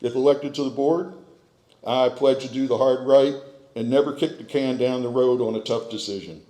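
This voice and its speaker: An American man in his sixties reads a prepared candidate speech at a podium in a measured, earnest, emphatic way. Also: mid-range voice, fairly even intonation, mellow. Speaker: board candidate giving a speech. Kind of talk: prepared public speech, read from notes. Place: large meeting hall with stage, indoors. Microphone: podium gooseneck microphone. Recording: echoey hall, podium microphone.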